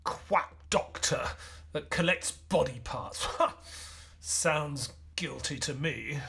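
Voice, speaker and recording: An actor playing a sombre Victorian doctor, a man narrating and talking in an audio play in a grave, measured, dramatic way. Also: a little high, gravelly, fairly bright tone, slight background noise, heavily acted. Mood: questioning. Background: background music.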